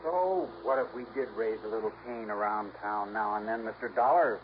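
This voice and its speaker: An American actor playing a glib, defensive, high-spirited young twin in a 1950s radio drama. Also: male voice, moderate pace, lightly acted. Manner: glib, protesting, insistent. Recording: dull old radio recording, faint noise.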